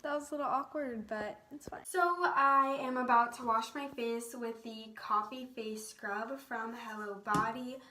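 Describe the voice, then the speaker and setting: Casting: young female beauty vlogger, soft higher voice, unhurried delivery, gushy casual chat, light background noise. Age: teens to young adult. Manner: gushing, peppered with "like". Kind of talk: casual chatty vlog to viewers.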